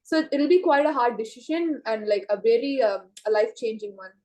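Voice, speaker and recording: A woman's voice: on the high side, expressive, brisk, darkish tone. A teenage girl answering mock exam questions in a casual way, with many filler words. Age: teens. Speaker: student.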